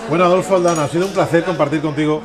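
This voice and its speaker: Male host, fast, chatty, short questions, loud music and crowd behind. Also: fairly high pitch, steady tone. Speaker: show host. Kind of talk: food show interview. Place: restaurant.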